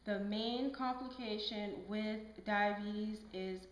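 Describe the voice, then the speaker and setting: A woman's voice: medium pitch, steady tone, takes it slowly, natural voice. A woman playing a patient, firm health educator in a scripted puppet skit, talking in an instructive, emphatic way. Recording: echoey room.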